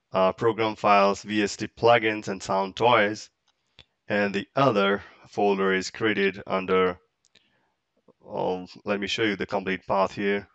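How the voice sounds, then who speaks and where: A man explaining software step by step in casual, halting, English. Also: on the low side, smooth, no echo, dull audio. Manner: halting, step-by-step, non-native English phrasing. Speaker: tutorial presenter. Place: close, dry indoor voice-over for a screen recording.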